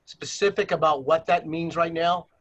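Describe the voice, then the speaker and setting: A man's voice: a little high, fairly even intonation, normal speed, a bit bright, highs cut off. A man leading a virtual planning workshop on a video call, presenting slides with many fillers and restarts.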